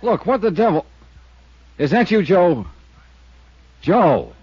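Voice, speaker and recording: An actor playing a blunt, clipped insurance investigator in a 1950s radio drama, on an old lo-fi recording. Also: male voice, sits high, very animated, takes it slowly, slightly rough, dry recording, full character voice. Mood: terse. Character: hard-boiled male insurance investigator, dry and probing. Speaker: lead detective character. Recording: dull lo-fi vintage radio transcription.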